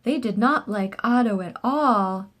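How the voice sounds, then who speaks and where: A woman reading a children's book aloud in an expressive, animated storytelling style with short asides. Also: on the high side, measured pace. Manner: animated, acts out dialogue.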